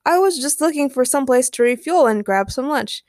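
A woman's voice: high voice, animated, normal speed, darkish tone, no room sound. A woman voicing a laid-back male space traveler in a children's story, casual, dry and mildly exasperated. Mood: patient, exasperated.

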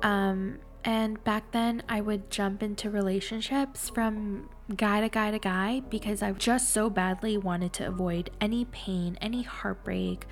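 A woman's voice: mid-range voice, fairly even intonation, unhurried, few pauses, faint music behind. A young adult woman with a nasal, congested voice hosting a casual, confessional solo podcast, speaking candidly and informally. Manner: candid, chatty, informal with swearing. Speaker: podcast host.

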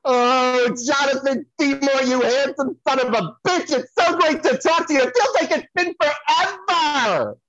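A man's voice: very high voice, measured pace, hardly any pauses, fairly bright tone, no room sound, dull audio. A man playing a flamboyant, touchy psychic who promotes himself and rambles effusively, repeating himself, as a comedy podcast guest. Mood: effusive, eager. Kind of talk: comedic audio play banter.

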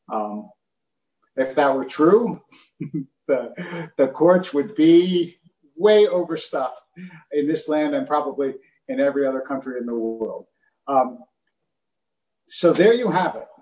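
A man's voice: fairly high pitch, a little mellow. Man telling a story in an echoey room. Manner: conversational, chuckling asides. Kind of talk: sermon storytelling.

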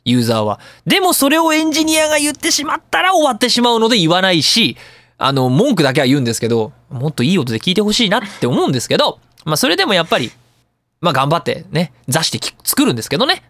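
A man's voice: high voice, huge pitch swings, quick pace, fairly bright tone, no echo. A man hosting a radio talk show, explaining things in a chatty, opinionated, colloquial way. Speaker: radio show host and audio engineer. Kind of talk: casual talk radio monologue explaining sound editing.

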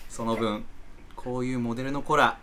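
A man's voice: medium pitch, fairly even intonation, normal speed, sharp tone, slight background noise, close to an everyday voice. A man playing a sly, fawning fixer in a comedy radio drama, wheedling with a Kansai accent. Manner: obsequious, wheedling merchant-like talk. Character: sly, fawning male fixer who procures party girls.

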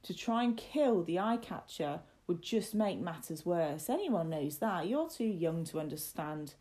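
Woman as a sly, teasing working boy, swooping pitch. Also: animated, measured pace, mellow. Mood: feigned seriousness. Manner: mock-deferential, ominous. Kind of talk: storybook character voice.

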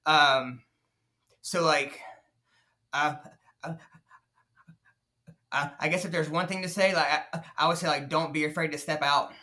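Bright-voiced man who stutters. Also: a little high, steady tone, a little slow, pauses often. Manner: stutters, many fillers and restarts. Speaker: podcast guest, nonprofit founder. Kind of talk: casual interview talk.

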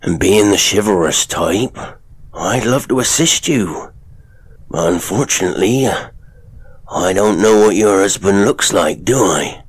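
A man voicing a sardonic, rough middle-aged sideshow owner who talks in a coarse, mocking drawl. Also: deep voice, lively intonation, a little slow, full character voice.

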